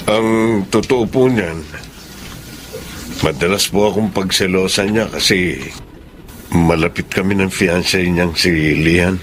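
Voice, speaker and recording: A man's voice: deep voice, takes it slowly, long pauses, a bit bright. An actor with a Filipino accent playing a humble, earnest, emotional father who works as a driver in a radio drama. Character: humble grieving father working as a family driver. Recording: noisy radio drama recording.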